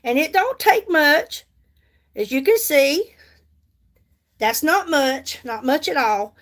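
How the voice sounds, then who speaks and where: A woman's voice: high voice, animated, takes it slowly. A woman, chatting casually on a makeup livestream and answering viewer comments. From United States. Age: 50s. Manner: chatty, reads and answers viewer comments, repeats herself for emphasis. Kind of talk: casual livestream chat while doing makeup.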